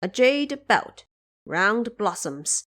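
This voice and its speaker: A woman narrator reading scripted storytelling of a classic epic in a formal, measured and expressive way. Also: medium pitch.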